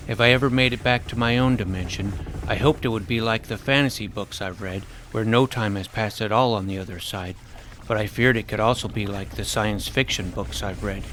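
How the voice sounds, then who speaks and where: A man playing an earnest, loyal twelve-year-old boy adventurer who narrates and acts in a fantasy audio drama, with a gravelly creak. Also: fairly low pitch, a bit bright, slight background noise, close to an everyday voice, poor audio.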